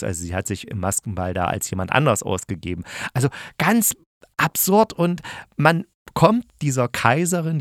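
Male review podcast host, average pitch, enthusiastic and conversational, emphatic delivery, very dry recording. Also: no echo. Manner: colloquial, emphatic, opinionated. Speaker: podcast host and radio drama critic.

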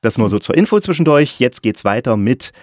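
A man's voice: mid-range voice, lively intonation, fairly quick, darkish tone, no room sound. Casual male podcast host, dry close sound. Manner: informal, chatty, self-correcting. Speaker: podcast host and radio drama critic. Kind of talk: casual podcast hosting and interviewing. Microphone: close mic in a remote online call.

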